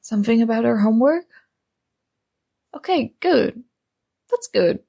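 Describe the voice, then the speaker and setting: A woman's voice: fairly high pitch, lively intonation, measured pace, vocal fry, mellow, slightly rough, exaggerated voice. A woman playing a shy, nerdy young student flustered by her crush in an ASMR roleplay, speaking hesitantly and awkwardly.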